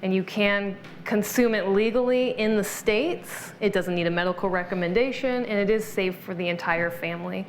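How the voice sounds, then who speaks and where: A woman's voice: mid-range voice, normal speed, hardly any pauses, slight background noise. A woman guest in an interview, explaining wellness topics in an informative, list-making way. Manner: informative, explanatory, lists benefits. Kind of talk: conversational interview.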